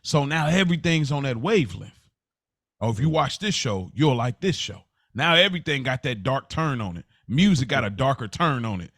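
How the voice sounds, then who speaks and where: Male podcast co-host, mid-pitched, colloquial and emphatic, reflective casual talk, close dry recording. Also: sing-song, moderate pace, fairly bright tone, no echo. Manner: earnest, emphatic, colloquial, often says "bro".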